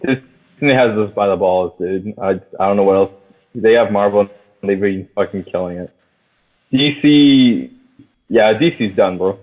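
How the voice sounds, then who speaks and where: Young male podcast co-host, low voice, slangy and enthusiastic, phone-like sound with long reverb. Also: unhurried. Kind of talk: casual film discussion among friends.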